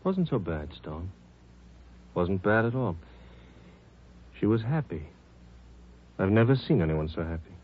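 A man playing a quiet, matter-of-fact hospital doctor with a husky voice in a 1950s radio drama. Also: low voice, sing-song, a little slow, long pauses, a little hiss or hum. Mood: offhand, mild. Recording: dull, muffled old radio recording.

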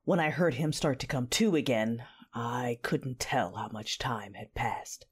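A woman's voice: extremely low pitch, measured pace, breathy edge, full character voice. A woman playing a proud, wounded, coldly furious female Sith lord, narrating an audio drama with intense, theatrical delivery. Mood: anguished rage. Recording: music behind the voice. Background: music.

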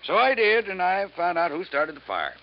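A man's voice: sits high, wildly swooping pitch, moderate pace, heavily acted. An actor playing a cocky, teasing male arson expert in a 1950s radio drama, speaking in a jaunty, needling way. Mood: jaunty, boastful. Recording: muffled, noisy old radio recording.

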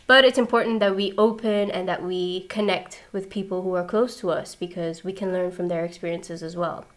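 A woman's voice: fairly low pitch, fairly even intonation, moderate pace. A woman hosting a podcast, upbeat and earnest, speaking directly to her listeners. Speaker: podcast host.

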